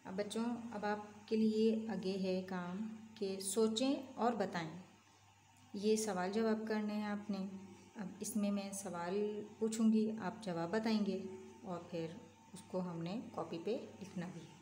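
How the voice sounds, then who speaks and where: A woman's voice: mid-range voice, fairly even intonation, unhurried, dark tone, a little hiss or hum. A woman teaching young children, patiently asking questions and answering them herself. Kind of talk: online lesson for young children. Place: room at a desk, voice over an open textbook. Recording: echoey room with faint ambience.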